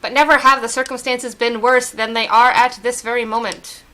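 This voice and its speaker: A woman voicing a solemn female tree spirit from a video game, reading formal dialogue slowly and earnestly. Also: on the high side, flat delivery, sharp tone, a little hiss or hum, low-quality recording.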